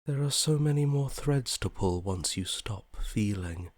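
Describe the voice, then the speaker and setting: A man voicing a cold, detached, numb figure in a video game, speaking deliberately. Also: lowish voice, animated, a little mellow, no room sound, studio quality.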